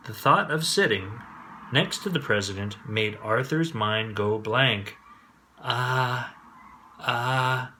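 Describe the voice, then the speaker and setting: A man narrating a children's bedtime story in an expressive read-aloud style. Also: fairly low pitch, measured pace, a little hiss or hum. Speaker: storyteller, host.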